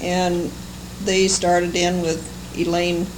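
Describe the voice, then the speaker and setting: An older American woman recalling her life for an oral history, speaking in a halting, unhurried, fond way. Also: on the low side, completely flat delivery. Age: older, seventies or above. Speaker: interviewee, longtime local resident. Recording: noisy amateur oral history recording.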